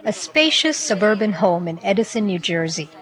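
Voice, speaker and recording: A woman reporter reading scripted documentary narration in a measured, even delivery. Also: medium pitch.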